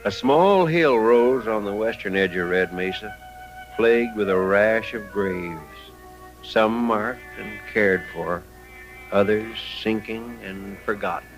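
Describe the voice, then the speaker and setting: An actor as a grave storyteller of the old West, narrating a radio drama in a measured, descriptive way over music. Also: male voice, lowish voice, low-quality recording. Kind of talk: dramatic scripted narration, 1950s radio western.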